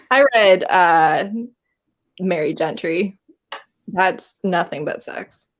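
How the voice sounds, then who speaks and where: A woman's voice: on the low side, takes it slowly, rough recording. A woman hosting a casual live group chat about books, with a blunt, playfully teasing manner. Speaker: host of a live readalong.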